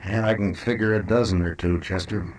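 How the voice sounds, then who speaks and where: Deep low man acting as a gruff old west lawman, terse n dry, old radio show w/ some hiss. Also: fairly even intonation, brisk, natural voice. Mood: firm, dry. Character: stoic, weary, authoritative frontier town marshal. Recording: noisy vintage radio drama recording.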